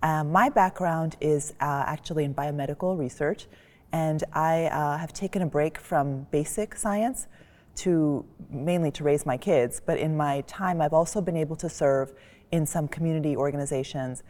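A woman's voice: low voice, moderate pace, a little mellow. A woman speaking on a panel in a library reading room, thoughtful and articulate. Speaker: panelist, community board member. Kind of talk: panel discussion. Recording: long reverb of a large hall.

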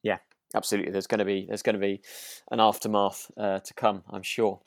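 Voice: deep voice, level tone, fairly quick, no room sound. Tentative podcast co-host, closely recorded and dry. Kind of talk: casual chat.

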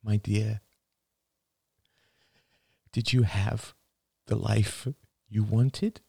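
A man voicing a grieving elderly father in an audiobook, gentle and halting, close to tears. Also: fairly low pitch, level tone, gravelly, slightly rough, dry recording, pitched above the performer's own voice, exaggerated voice. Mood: sorrowful, tender. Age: older. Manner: halting, sighing, breaking into sad laughter. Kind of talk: dramatic audiobook dialogue.

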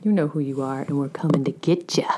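An adult woman with a loud voice, co-hosting a casual chat in a playful, teasing way. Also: low voice, steady tone, a little fast, a little hiss or hum. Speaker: podcast co-host.

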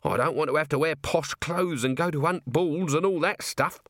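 A man's voice: fairly high pitch, moderate pace, fairly bright tone, dry recording, studio quality, pitched above the performer's own voice, lightly acted. A man voicing a shabby, self-pitying corporal of the watch in an audiobook, moaning glumly. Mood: plaintive. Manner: moaning, glum, plaintive. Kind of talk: audiobook character acting.

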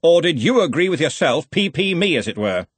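A man's voice: a little high, sing-song, brisk, slightly rough, no echo, voice pushed up. A man voicing a brash, cheerful, domineering wizard arch-chancellor in a storybook, blustery and commanding. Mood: cheerfully forceful.

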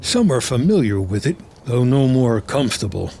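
A man's voice: medium pitch, big pitch swings, normal speed, vocal fry, dark tone, slight background noise. A man narrating a screenplay in the present tense, as dramatic scripted storytelling.

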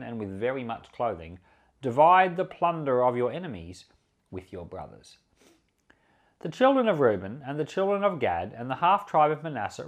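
Male preacher for solemn scripture narration, mid-pitched and highly varied in intonation, with deliberate, emphatic phrasing. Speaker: preacher reading scripture aloud. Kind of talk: solemn Bible reading.

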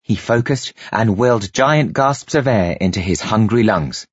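Male audiobook narrator: dramatic, lively storytelling in a lowish breathy voice, close dry muffled sound. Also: a little slow, no echo.

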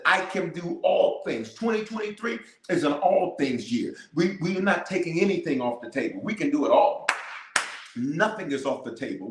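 A man's voice: fairly high pitch, roomy sound. A man, a bishop, leading a livestreamed prayer with fervent, repetitive declarations and calls to the viewers. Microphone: cameras in front of him.

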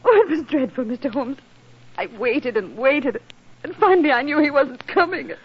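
Casting: overwrought young bride, pleading, high and swooping voice, vintage radio melodrama. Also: female voice, animated, moderate pace. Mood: distraught. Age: young adult. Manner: breathless, pleading, stammering. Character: distraught young jilted bride pleading for help. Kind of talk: 1940s radio melodrama acting. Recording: dull lo-fi 1940s radio recording.

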